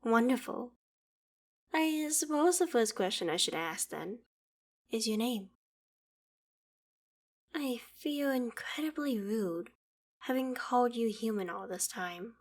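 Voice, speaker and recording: A woman playing an ancient female dragon in an ASMR roleplay, proud and curious, speaking in a measured, formal, archaic way. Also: a little high, expressive, full character voice. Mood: warm, polite. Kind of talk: ASMR roleplay audio drama, addressing the listener.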